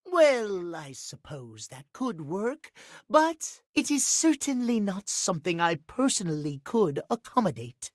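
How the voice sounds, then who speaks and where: A man's voice: sits high, no room sound, clean studio sound, exaggerated voice. A male actor voicing a fussy, romantic timepiece in a video game, with formal, theatrical, precise diction. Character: fussy, prim, schedule-obsessed male timepiece, romantic dandy. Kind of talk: video game character acting.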